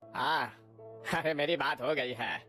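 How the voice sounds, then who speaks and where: A woman voicing a grumpy older father-in-law in a cartoon who grumbles and hushes others. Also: very deep voice, slow, faint music behind, heavily acted. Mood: grumbling.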